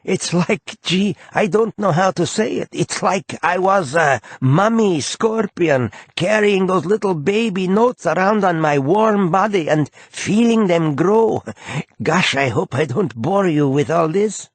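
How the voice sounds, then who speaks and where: A man's voice: high-pitched, expressive, measured pace, dry recording, pitched above the performer's own voice, full character voice. A man voicing a shy, folksy male tycoon who talks in a coy, aw-shucks way.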